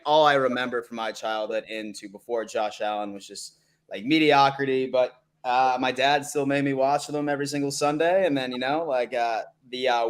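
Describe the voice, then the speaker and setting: A man's voice: mid-range voice, a bit bright. A man in his thirties from Buffalo, New York, hosting a casual sports call-in stream in a laid-back way. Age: 30s. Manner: laid-back, says "man" often, hypes guests.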